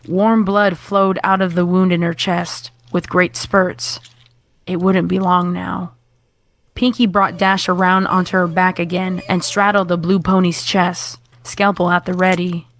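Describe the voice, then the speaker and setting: A woman's voice: on the low side, flat delivery, measured pace. A woman narrator reading a scripted horror story.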